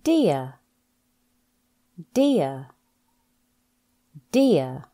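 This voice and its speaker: A woman English teacher reading scripted pronunciation practice with deliberate, careful enunciation. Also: low voice, very animated, mellow. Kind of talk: scripted lesson narration, reading words for practice.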